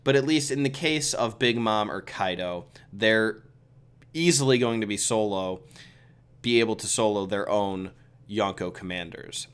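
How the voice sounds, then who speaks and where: A man who is a little sick hosting a relaxed, chatty solo review, giving his opinions with lots of fillers. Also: on the low side, smooth. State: sick with a cold. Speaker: video host reviewing a comic chapter. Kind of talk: casual solo commentary.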